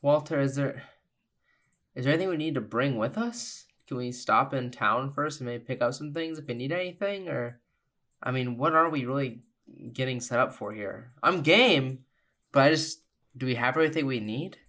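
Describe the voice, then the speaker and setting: A man's voice: medium pitch, animated, moderate pace, sharp tone. Male player in a tabletop game, mid-range voice, casual conversational delivery. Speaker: player in a tabletop role-playing podcast. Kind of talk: casual in-game questions and chat.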